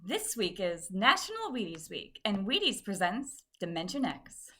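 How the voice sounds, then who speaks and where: A woman playing a peppy 1950s radio cereal pitchwoman, cheery and folksy in her salesmanship. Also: sing-song, a little slow, very noisy. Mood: cheery, selling.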